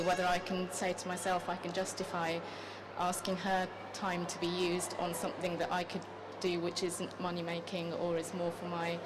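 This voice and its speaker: A woman from Japan offers personal remarks in a group discussion. Her voice sits a little low and stays very flat in pitch, with a polite, self-qualifying delivery. Noticeable noise runs behind her at a live event. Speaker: event participant, mother. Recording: clear background noise, live event room.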